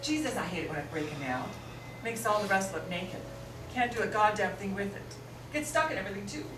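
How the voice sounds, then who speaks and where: A woman playing a vain, sharp-tongued drag queen, bossy and theatrical, in a stage drama. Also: mid-range voice, lively intonation, fairly quick, lightly acted. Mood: grumbling. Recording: echoey room, distant mic, noisy.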